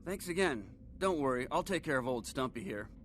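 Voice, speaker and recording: An actor playing a rattled, slangy private investigator held captive in a video game, with an excitable way of talking. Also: male voice, medium pitch, a little fast, slight background noise, lightly acted. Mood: shaken, weary. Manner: excitable, slangy, pleading street talk.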